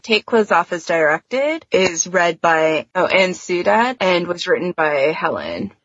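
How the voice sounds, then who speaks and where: A woman's voice: fairly low pitch, very animated, measured pace, a bit bright, slightly rough, no room sound, highs cut off. A woman talking casually as a podcast co-host, in an informal, chatty way peppered with "like". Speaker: podcast co-host discussing fan fiction. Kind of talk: casual chat.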